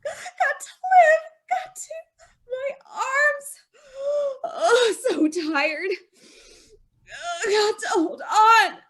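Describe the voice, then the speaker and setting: Woman acting as a frantic young wife in a radio play, very high voice, swooping pitch, slow desperate pleading. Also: animated, a bit bright, heavily acted. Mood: sobbing. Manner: panicked, pleading, sobbing. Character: terrified young wife, noose around her neck. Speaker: radio actor. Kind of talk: old-time radio drama acting.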